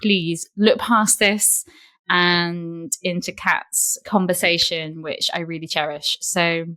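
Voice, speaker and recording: A woman hosts her own podcast in a low, slightly croaky voice left over from an illness. She speaks with gushing warmth and stretches out her phrases. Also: fairly even intonation, takes it slowly. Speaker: podcast host. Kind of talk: scripted intro, then casual interview chat.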